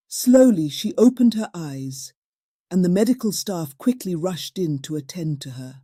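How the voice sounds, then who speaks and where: Woman, folktale narrator, lowish pitch, very mellow and warm timbre, scripted storytelling, dry recording. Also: no room sound.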